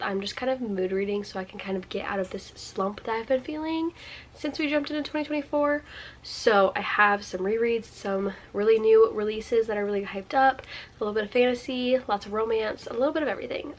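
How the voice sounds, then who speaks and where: A woman's voice: fairly high pitch, a little fast. A young woman with a stuffy cold, chatting to camera in a bubbly, gushing way, full of likes and ums. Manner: bubbly, gushing, filler-heavy. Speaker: book vlogger. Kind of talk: casual chat to camera. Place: indoors at home by a sunny window.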